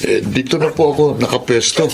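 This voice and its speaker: A man's voice: mid-range voice, fairly quick, gravelly, lightly acted. Meek older male cobbler played by an actor, Filipino radio drama, apologetic, mid-range voice, faintly noisy audio. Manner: deferential, meek, forgiving.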